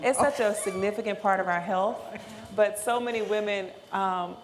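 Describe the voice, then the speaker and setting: A woman's voice: medium pitch, normal speed, low-quality recording. A woman moderating a panel conversationally, attentive and affirming. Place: live panel event in a room. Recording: live room with clear background noise.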